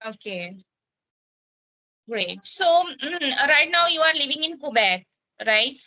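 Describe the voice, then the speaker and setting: Bright high-voiced Indian woman. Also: very animated, takes it slowly, muffled recording. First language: Hindi. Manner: asks questions, hesitant with fillers. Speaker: language practice partner. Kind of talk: casual practice conversation. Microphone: app call.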